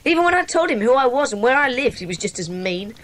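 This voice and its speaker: Woman as earnest, highish-voiced schoolboy. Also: sing-song, fast. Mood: aggrieved, downcast. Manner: earnest, protesting, polite. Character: earnest, downcast schoolboy club member. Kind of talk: dramatised children's audiobook acting.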